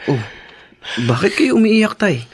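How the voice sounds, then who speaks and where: A man's voice: high-pitched, big pitch swings, unhurried, husky, exaggerated voice. A man acting a dutiful, reassuring adult son and husband in a Tagalog radio drama. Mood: warm, reassuring.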